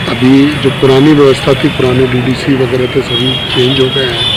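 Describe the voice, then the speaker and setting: An Indian-accented man gives an unscripted statement outdoors in a flat, even tone. His pitch is middling, and loud music runs behind him. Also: rough recording. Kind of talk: spontaneous spoken statement to camera. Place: outdoors in front of an office.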